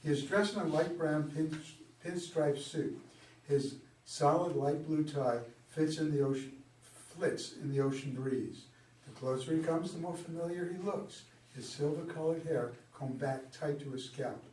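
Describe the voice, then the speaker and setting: A man's voice: fairly high pitch, unhurried, darkish tone. A man reading stage directions as narrator of a scripted play. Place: indoor room at a live reading. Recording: echoey room, distant mic, faint noise.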